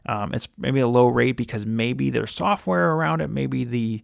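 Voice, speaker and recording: A man's voice: medium pitch, normal speed, vocal fry, clear, resonant tone, no room sound, studio quality. A man giving informal solo commentary on business as a show host, thinking aloud and often rephrasing himself, with an enthusiastic manner. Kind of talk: informal solo commentary on a company earnings call.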